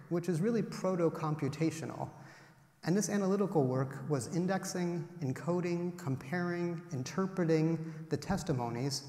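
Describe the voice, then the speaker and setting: A man's voice: fairly high pitch, darkish tone. Lecturing man in an echoing hall. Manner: measured, explanatory, lists with rising emphasis. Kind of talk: academic lecture. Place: large auditorium, at a podium. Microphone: podium microphone. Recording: roomy hall with long reverb.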